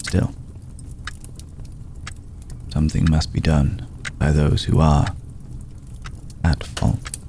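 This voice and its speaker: A man playing an ancient, aristocratic vampire in an ASMR roleplay, formal, hushed and gravelly, protective and vengeful, with deliberate pauses. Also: a bass voice, completely flat delivery, a little hiss or hum. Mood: cold, vengeful. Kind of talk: ASMR roleplay, slow intimate monologue.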